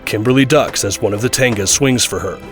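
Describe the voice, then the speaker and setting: Low-voiced man narrating action over music. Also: brisk. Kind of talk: scripted audio drama action narration.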